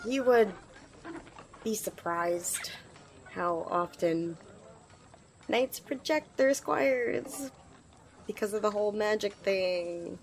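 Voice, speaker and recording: Over faint background noise, a woman plays an eager fantasy squire in an audio roleplay. The squire speaks at a medium pitch, earnest and reassuring. The delivery is chatty and a little hesitant, as if talking straight to the listener. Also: expressive, slow pace, pauses often, low-quality recording. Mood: friendly, reassuring. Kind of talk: ASMR roleplay addressed to the listener.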